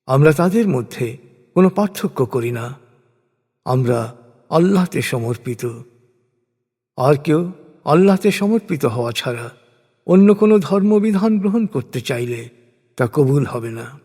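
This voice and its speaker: A man reading a scripture translation as a solemn, formal scripted narration, with measured and deliberate phrasing. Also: a little high, lively intonation, mellow, breathy edge. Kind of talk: solemn scripted religious recitation in prose. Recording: long hall-like reverb.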